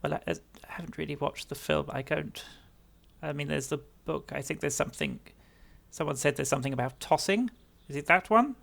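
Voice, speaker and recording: A man voicing a grave, formal fae guardian of a forest, speaking in a measured, earnest way. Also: on the high side, creaky, fairly bright tone. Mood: hesitant, puzzled.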